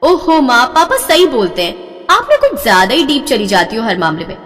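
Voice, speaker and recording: A woman voicing a spoiled, excitable young daughter in a storybook, whiny, dramatic and gushing. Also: fairly high pitch, normal speed, big-room sound. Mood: eager, insistent. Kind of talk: melodramatic audio storybook acting.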